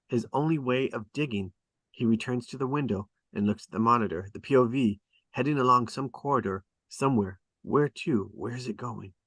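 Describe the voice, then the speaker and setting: A man's voice: mid-range voice, a bit bright, no room sound. A man narrating a script at a table read, reading stage directions dramatically in short, clipped phrases.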